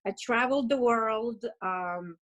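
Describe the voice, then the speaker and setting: A woman's voice: on the high side, a little slow, a bit bright, highs cut off. A woman in her fifties with a Russian accent, a guest telling her personal story in an emotional, upbeat way. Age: 50s. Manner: emotional, upbeat, uses "like" and "you know" a lot. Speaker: interview guest.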